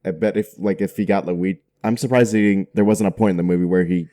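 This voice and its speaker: Low-voiced man in casual, giggly podcast chat. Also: steady tone, fast, a crowd in the background. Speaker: podcast guest or co-host.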